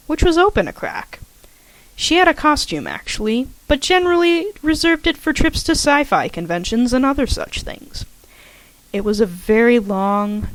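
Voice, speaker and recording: A woman reading her own fanfiction aloud as a podfic, in a lively storytelling way. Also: fairly high pitch, dry recording, rough recording.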